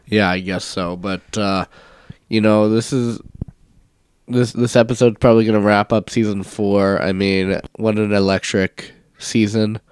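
A young man co-hosting a casual sports podcast, stuffed up from a cold and talking in a relaxed, rambling way. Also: on the low side, a little slow, clear, resonant tone. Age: young adult. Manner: relaxed, rambling, many fillers. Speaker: podcast co-host, university football player.

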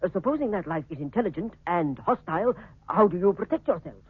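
An actor playing an earnest, polite reporter in a 1950s radio drama, with careful, slightly hesitant questions. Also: male voice, sits high, expressive, fast talker, husky. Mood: earnest, curious. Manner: tentative, careful, formal questioning. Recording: muffled, band-limited 1950s radio recording.

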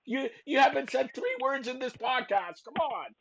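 A man hosting a wrestling podcast, talking loudly and teasing his guests, rambling with lots of fillers. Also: very high-pitched, lively intonation, normal speed, gravelly, dull audio, heavy background noise. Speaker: podcast host. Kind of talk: loud joking wrestling podcast chat.

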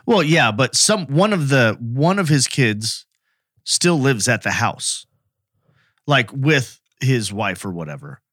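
A man with a medium-pitched voice co-hosts an informal conversation. He is inquisitive, chipping in with questions and clarifications in everyday language. The recording is dry, with almost no room sound. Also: big pitch swings, slow, a bit bright, dry recording. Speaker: podcast co-host. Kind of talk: casual chat, asking questions.

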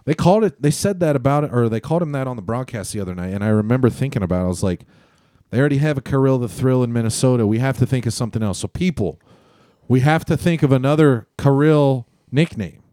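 A man's voice: medium pitch, expressive, quick pace, mellow, no echo, clean studio sound. A man co-hosting a sports podcast, talking bluntly and swearing often. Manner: blunt, profane, rambling. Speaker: podcast co-host. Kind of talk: casual sports chat.

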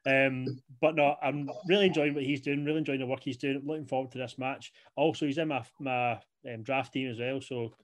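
A man's voice: medium pitch, steady tone, fairly quick, few pauses. A young man co-hosting a wrestling podcast, rambling casually and leaning on "you know" fillers. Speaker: podcast co-host. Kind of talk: casual chat.

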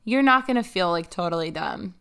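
A young woman hosting a podcast, chatting and teasing in a wry, brisk way and reading quiz questions aloud. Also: fairly low pitch, lively intonation, vocal fry, a bit bright, smooth. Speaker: podcast host.

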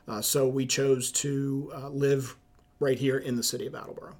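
A middle-aged man with a Southern accent from Charleston, a high school principal answering interview questions in a measured way, with many ums and uhs. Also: flat delivery, darkish tone. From South Carolina, USA. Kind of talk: podcast interview.

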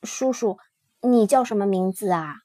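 A woman voicing a tearful, sweet little boy spinosaurus in a children's storybook, with a high squealing childlike voice. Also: animated, normal speed, dark tone, dry recording, natural voice. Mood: curious.

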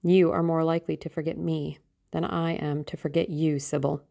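A woman's voice: sits low, flat delivery, moderate pace, vocal fry, mellow. A woman voicing a morose, protective teenage brother in an audiobook, blunt and questioning. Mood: sullen. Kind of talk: audiobook character acting.

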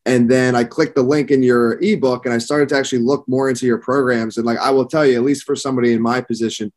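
A man's voice: medium pitch, fairly even intonation, fast, a little mellow. A man hosting a podcast interview, talking in a casual, upbeat way with many fillers. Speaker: podcast host.

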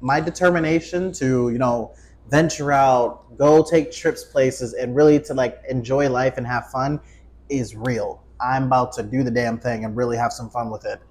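A man's voice: medium pitch, moderate pace. A man in his twenties chatting casually as a podcast host, joking and swearing freely. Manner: profane, joking, animated self-interruptions. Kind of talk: casual chat.

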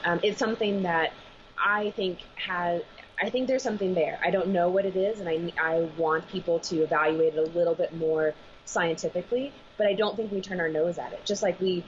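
Casting: lower-voiced woman vet, earnest guest, muffled call audio, faint hiss. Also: fairly quick. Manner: earnest, thinks aloud with restarts. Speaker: veterinarian and animal massage school director. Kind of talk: remote interview guest. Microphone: video call.

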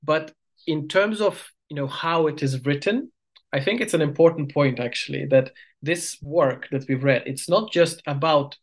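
A man's voice: a little high, normal speed. A man from Estonia, a guest researcher explaining ideas reflectively in casual discussion.

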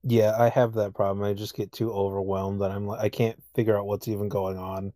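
A man's voice: lowish voice, flat delivery, fairly quick, mellow, dry recording, clean studio sound. A man co-hosting a casual gaming chat, complaining in a blunt, profane way. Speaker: podcast co-host. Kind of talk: casual video game chat.

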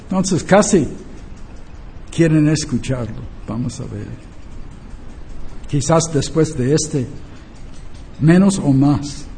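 A man's voice: a little high, lively intonation, slow, pauses often, dark tone, slight background noise. An older man in Spanish, preaching and teaching emphatically, repeating key phrases and asking rhetorical questions. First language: not a native Spanish speaker.

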